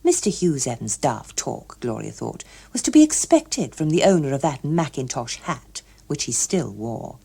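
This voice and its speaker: Expressive, husky British woman narrator. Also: rumbling low, moderate pace, hardly any pauses. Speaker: audiobook narrator. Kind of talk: expressive literary story narration.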